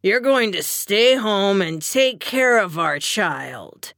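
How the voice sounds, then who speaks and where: A woman's voice: mid-range voice, big pitch swings, vocal fry, a bit bright, dry recording, clean studio sound, exaggerated voice. A woman voicing a drunk, domineering young husband in an audiobook, slurring and menacing as he speaks. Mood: slurred, possessive. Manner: slurred, slow, controlling. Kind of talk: audiobook character dialogue.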